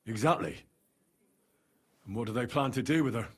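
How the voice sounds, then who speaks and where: Husky, level-headed young medieval adventurer. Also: male voice, mid-range voice, animated, moderate pace. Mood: puzzled, curious. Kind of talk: video game voice acting, dramatic dialogue.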